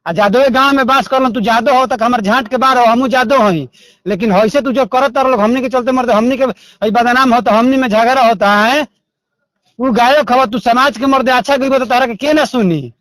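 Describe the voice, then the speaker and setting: Guy with a really high voice, bhojpuri accent, complaining and arguing on a call, dry sound. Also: moderate pace, fairly bright tone, no echo, poor audio. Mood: aggrieved. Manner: argumentative, aggrieved, repetitive, coarse-mouthed. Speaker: caller. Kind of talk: confrontational phone call.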